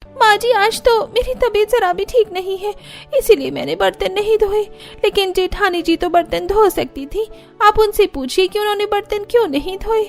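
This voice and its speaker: A woman's voice: very high voice, normal speed, vocal fry, soft background music, voice pushed up. A woman voicing a whiny, excuse-making younger daughter-in-law in a cartoon family story, pleading and put-upon. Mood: complaining. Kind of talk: animated family story dialogue.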